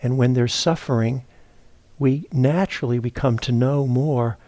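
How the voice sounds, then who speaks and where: A man teaching a guided meditation, speaking in a halting, reflective way as he searches for words. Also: mid-range voice, mellow, dry recording. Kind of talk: guided meditation talk, unscripted. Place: meditation retreat center, indoors.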